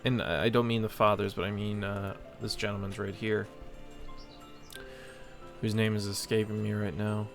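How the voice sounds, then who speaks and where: A man's voice: fairly low pitch, little pitch movement, normal speed, vocal fry, pauses often. A man giving casual let's play commentary, thinking aloud hesitantly as he plays. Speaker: game streamer. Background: game music.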